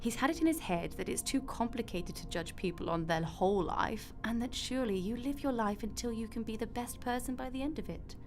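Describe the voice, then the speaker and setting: A female God played by a woman in a radio drama, speaking in a rather high voice. She is glib and chatty, explaining the afterlife like a matter-of-fact manager. Music plays faintly underneath. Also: a little fast, hardly any pauses, natural voice.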